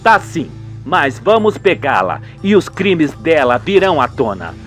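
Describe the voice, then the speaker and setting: A man's voice: on the high side, big pitch swings, measured pace, fairly bright tone, soft background music, voice pushed down. A man voicing a formal, probing Brazilian police investigator in a radio soap opera, composed and determined. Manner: official, probing.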